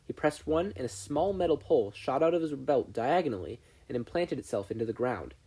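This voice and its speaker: A narrator reading a fan fiction story aloud in an expressive scripted style. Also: medium pitch, a little fast, breathy edge. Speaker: podfic narrator.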